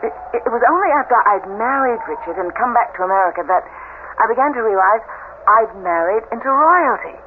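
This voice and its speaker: A high, swooping young bride's voice played by an actress, earnest and hesitant, uneasy in another woman's shadow. It is old radio mystery acting on a tinny, noisy broadcast. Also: lively intonation, fairly quick, close to an everyday voice. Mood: anxious, brooding. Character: naive young new bride, uneasy in the first wife's shadow.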